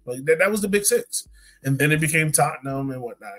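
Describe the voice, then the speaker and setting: American male podcast co-host, higher-pitched, casual and emphatic, conversational sports talk. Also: expressive, fast, a bit bright, faint music behind. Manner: colloquial, emphatic, repeats points for effect.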